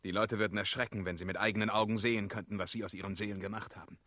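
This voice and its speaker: An actor playing a brave, earnest dragon-slayer knight in love, speaking with intimate ardour in a 1960s radio drama. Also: male voice, fairly low pitch, fairly even intonation, rapid-fire, bright, forceful tone, no echo. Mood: challenging.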